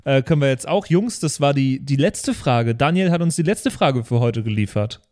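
A man's voice: mid-range voice, expressive, normal speed, a little mellow. A man co-hosting a podcast in casual, slangy chat among friends. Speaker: podcast co-host closing a listener Q&A episode.

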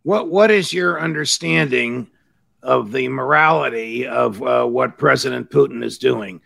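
A man hosting a podcast interview, asking questions in a formal, measured way. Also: medium pitch, creaky, a bit bright. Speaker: podcast host.

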